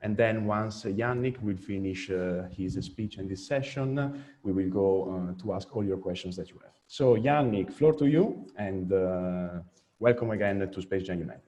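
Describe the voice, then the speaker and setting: Man as event moderator, lowish mellow voice, halting intro with lots of fillers. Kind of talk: introducing a speaker.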